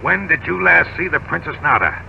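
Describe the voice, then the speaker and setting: An actor playing a tough, armed male gangster in an old radio drama, gruff and blunt. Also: fairly high pitch, animated, brisk, lo-fi sound. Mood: menacing. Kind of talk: 1930s radio drama acting. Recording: old radio broadcast with hiss.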